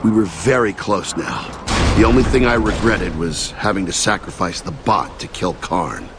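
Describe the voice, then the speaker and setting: An actor playing a battle-hardened soldier giving grave, measured testimony in a game cutscene. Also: male voice, low voice, lively intonation. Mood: grim, resolute. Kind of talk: dramatic game cutscene narration. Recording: loud music and vehicle noise behind.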